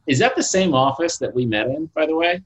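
A man's voice: a little high, lively intonation, brisk, a bit bright. A man hosting a podcast, reading an intro and then asking friendly questions. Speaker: podcast host. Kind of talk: scripted intro and interviewing.

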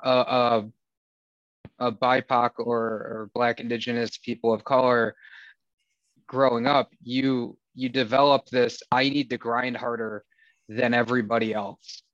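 A man's voice: mid-range voice, fairly bright tone, dry recording. Wry man with a Wisconsin accent. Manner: sarcastic, dry wit, restarts often. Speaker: guest. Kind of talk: candid conversational talk. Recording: dull lo-fi sound, dry.

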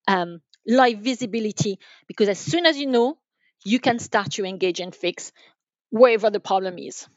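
A woman speaking as a gives conversational answers as an interview guest on business matters. She talks haltingly, circling back over words as she thinks. The sound is dry and somewhat dull, like a band-limited online call. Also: medium pitch, no echo. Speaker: podcast guest, enterprise sales manager.

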